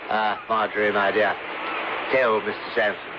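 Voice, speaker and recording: A man's voice: on the low side, little pitch movement, normal speed, natural voice. An actor playing a suave, over-genial English uncle in a radio mystery, urbane and florid in his talk. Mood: genial, doting. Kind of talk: old-time radio drama acting. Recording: noisy dull old radio broadcast.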